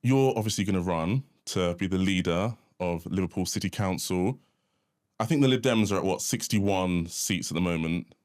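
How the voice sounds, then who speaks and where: A man's voice: low voice, moderate pace, darkish tone. A man speaking as the host of an interview podcast, introducing and questioning a guest.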